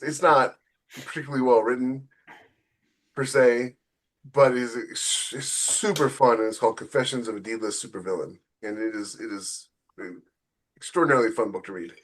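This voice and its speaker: Casual, wry male author co-hosting a podcast. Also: medium pitch. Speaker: novelist and podcast co-host.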